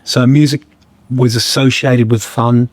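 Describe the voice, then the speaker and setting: A man, a guest musician, talking reflectively in a podcast conversation, a bit croaky, halting with many fillers and restarts. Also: medium pitch, steady tone, darkish tone, no room sound. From Australia.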